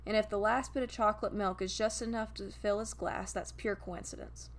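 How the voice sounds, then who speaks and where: A woman voicing a gentle, grieving male guardian angel who tells a story in a wistful, intimate way. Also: mid-range voice, fairly even intonation, a little fast, close to an everyday voice. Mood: tender, wry.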